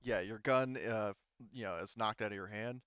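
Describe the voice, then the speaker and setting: A man's voice: fairly low pitch, normal speed, fairly bright tone, clean tone, dry recording, highs cut off. A man narrating and explaining rules casually as a tabletop game master. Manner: informal, talks through rules as he reads them.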